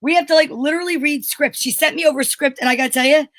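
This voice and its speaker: A woman's voice: high voice, rapid-fire, fairly bright tone, dry recording, studio quality. A woman co-hosting a live show in casual, unscripted banter, chatty and candid.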